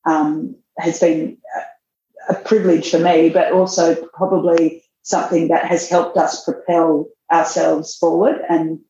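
A woman's voice: low voice, flat delivery, measured pace, dark tone, a little hiss or hum. Chatty woman on a roomy line. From Australia. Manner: chatty, laughs often, many restarts. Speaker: magazine editor, guest. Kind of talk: casual podcast interview. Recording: roomy, dull remote audio.

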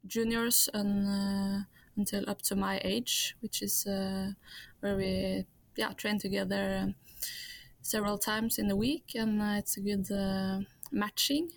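A woman from Norway, a guest in a casual interview, answering in halting English as she searches for words. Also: mid-range voice, steady tone, dry recording.

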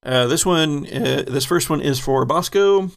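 A man running a tabletop horror game, narrating slowly and deliberately. His voice has a gravelly, creaky edge, and he lets small chuckles slip between descriptions. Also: on the high side. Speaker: game master.

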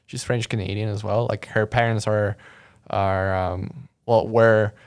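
A man's voice: lowish voice, fairly even intonation, unhurried, clean tone. A young man from Mexico, a guest in a casual interview, telling his story in a rambling way full of fillers and restarts. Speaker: guest, audio engineer.

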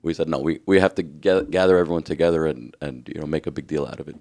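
A hoarse man with a raspy voice, co-hosting a podcast in earnest, reflective casual chat. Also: rumbling low, fast talker, darkish tone. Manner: earnest, reflective, restarts often. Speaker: podcast co-host.

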